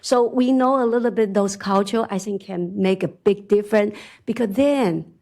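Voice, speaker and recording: A middle-aged woman with a strong Chinese accent giving an animated conference talk, often laughing and asking "right?". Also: medium pitch, normal speed, vocal fry. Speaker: conference speaker. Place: conference hall.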